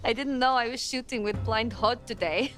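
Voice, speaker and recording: A woman's voice: fairly high pitch, moderate pace, a bit bright, faint music behind, close to an everyday voice. A woman playing a young, fearless Norse warrior sister, teasing and cocky, in a video game cutscene. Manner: taunting, playful.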